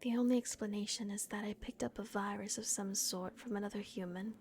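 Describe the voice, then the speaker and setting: A woman voicing a gentle, protective female vampire caretaker in a soft, hesitant whisper on a close microphone. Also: medium pitch, fairly even intonation, brisk, a little hiss or hum, close to an everyday voice. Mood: tender, caring. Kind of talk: whispered ASMR roleplay.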